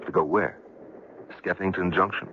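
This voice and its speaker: A man's voice: low-pitched, fairly even intonation, normal speed, natural voice. A man acting an earnest, bitter widowed businessman in a radio drama, speaking plainly with hesitant, emphatic phrasing. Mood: weary, guarded. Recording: muffled, noisy old radio drama recording.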